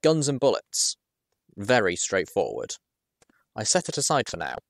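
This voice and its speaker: A man reading a first-person adventure story aloud in a measured, expressive storytelling manner. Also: lowish voice, vocal fry, no echo, clean studio sound. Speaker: audiobook reader.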